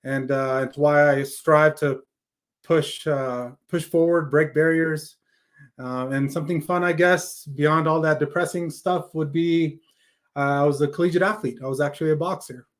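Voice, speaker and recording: A man's voice: fairly high pitch, fairly even intonation, moderate pace. A man with an American accent, speaking as an interview guest and telling his personal story conversationally. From raised in California, born in Afghanistan.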